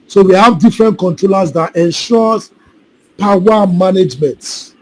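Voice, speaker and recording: A man leads a live online class over a video call, his voice sitting fairly high. He repeats himself and keeps checking that his students understand. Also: moderate pace, low-quality recording. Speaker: solar installation trainer.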